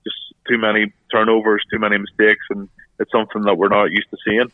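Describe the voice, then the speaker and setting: A man whose voice is going hoarse gives frank, halting answers as a podcast guest, stopping and restarting as he talks. His voice sits low and flat. It comes through a tinny, dry phone line. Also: no room sound. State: losing his voice. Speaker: former rugby player, guest pundit. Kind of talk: podcast interview over phone.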